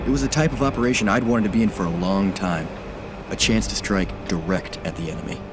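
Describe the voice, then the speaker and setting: A man's voice: low voice, fairly quick, noisy background, natural voice. A man voicing a grave navy commander. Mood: resolute. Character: seasoned male naval commander, dry and resolute. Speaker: naval officer narrating. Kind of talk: scripted game narration.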